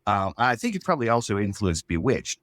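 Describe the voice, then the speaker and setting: Male writer interviewee, fairly low pitch, dry recording, reflective, hesitant conversational delivery. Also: sing-song, brisk, a bit bright, no room sound. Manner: hesitant, reflective, restarting phrases. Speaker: writer-creator interviewed about his show. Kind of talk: casual interview chat.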